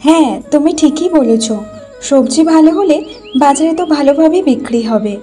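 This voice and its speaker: A woman's voice: high voice, moderate pace, dark tone, faint music behind, close to an everyday voice. A woman playing a careful, practical village wife and mother in a cartoon, sensible in manner. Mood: caring. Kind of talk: Bengali cartoon voice acting.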